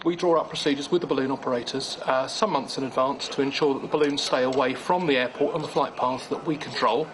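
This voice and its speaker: A man's voice: medium pitch, steady tone, fast talker, few pauses. A man working as an air traffic control manager, explaining procedures in formal phrasing as in an interview.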